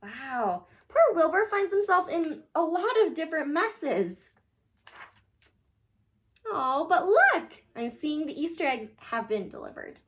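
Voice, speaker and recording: A woman reading a children's picture book aloud in an animated, expressive way, addressing young listeners. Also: sits high, slow pace.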